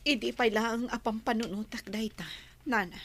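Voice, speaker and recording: A woman's voice: a little high, steady tone, moderate pace, a bit bright. A woman with an Ilocano Filipino accent playing an earnest young woman doctor, torn but firm, in a radio drama. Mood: worried.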